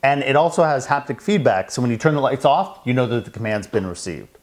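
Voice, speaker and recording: A man's voice: medium pitch, expressive, a little fast. A middle-aged American man presenting a semi-scripted product demonstration indoors at a house.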